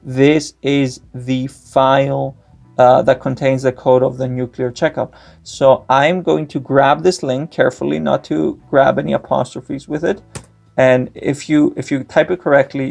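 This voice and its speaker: A young man, Greek his first language, explains a software tutorial at a desk mic in a gravelly, middle-pitched voice. His delivery is step-by-step and dotted with "uh" fillers. Faint background music sits under the voice-over. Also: steady tone, a little slow. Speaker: tutorial host. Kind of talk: screen-recorded tech tutorial.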